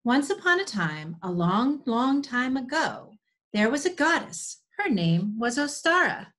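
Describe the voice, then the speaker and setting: Higher-voiced woman telling a story. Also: sing-song, measured pace, a bit bright. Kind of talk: scripted storytelling for children. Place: home room, indoors. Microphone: video call computer mic.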